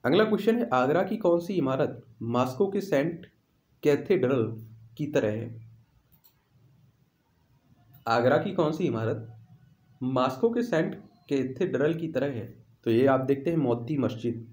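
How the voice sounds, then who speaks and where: A man's voice: medium pitch, expressive, unhurried, long pauses, a little mellow. A man teaching exam questions in an instructional way, repeating questions and answers for emphasis, with an echoey room sound. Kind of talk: instructional quiz walkthrough.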